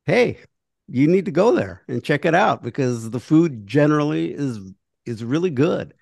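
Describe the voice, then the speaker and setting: Relaxed male host, dry sound. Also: a little high, moderate pace, gravelly, no room sound. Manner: relaxed, affirming, conversational questions. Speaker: podcast host. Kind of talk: casual podcast interview.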